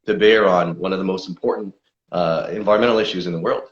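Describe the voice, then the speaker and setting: A man's voice: low voice, fast talker. A man answering interview questions as a conservation campaigner, explaining steadily with frequent fillers. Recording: dull lo-fi sound.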